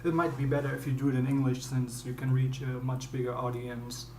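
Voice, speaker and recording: A young man with a German accent, a non-native English speaker, explaining step by step in a halting, word-searching way how he builds a beat at his computer. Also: mid-range voice, little pitch movement, a little mellow, slight background noise. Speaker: music producer. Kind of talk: screen-recorded beatmaking tutorial. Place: dim small room at home. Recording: roomy with piano playing behind.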